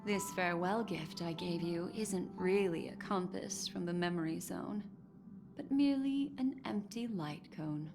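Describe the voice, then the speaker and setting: A woman's voice: fairly low pitch, a little slow, vocal fry. An actress voicing an enigmatic, teasing and seductive woman in a video game, poised and coy with lingering, theatrical phrasing. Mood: smooth, explaining. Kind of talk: scripted video game character dialogue. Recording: clean voice over game music.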